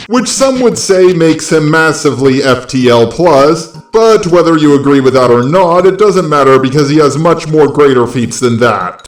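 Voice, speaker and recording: A man's voice: a little high, roomy sound. A man hosting a video, reading scripted explainer narration. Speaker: video host.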